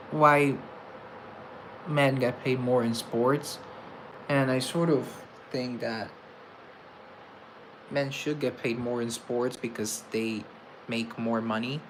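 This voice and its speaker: A man's voice: mid-range voice, a little hiss or hum, rough recording. A man chatting casually on a livestream, reading comments aloud and answering them with self-mocking humor. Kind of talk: casual live chat.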